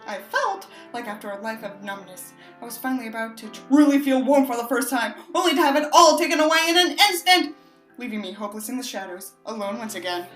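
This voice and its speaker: A woman's voice: fairly high pitch, expressive, brisk, fairly bright tone. Woman doing audiobook narration w snarky fed up commentary, echoey room, music behind her. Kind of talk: expressive book narration with mocking asides.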